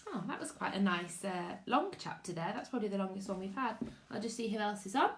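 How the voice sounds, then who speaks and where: A woman, a teacher, giving an expressive live read-aloud of a children's story and chatting to her listeners. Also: mid-range voice, fast talker. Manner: expressive storytelling with character voices. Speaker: teacher reading to pupils online. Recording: echoey room.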